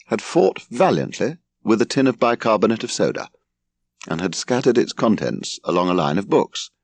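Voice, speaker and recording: Male audiobook narrator, English accent: low-pitched, gravelly, highly expressive storytelling, recorded dry. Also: no echo.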